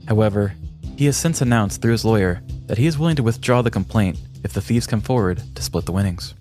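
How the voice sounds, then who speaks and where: A man narrating a scripted story as a podcast host, in a measured, dramatic manner. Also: fairly low pitch, darkish tone. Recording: music behind the voice. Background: background music.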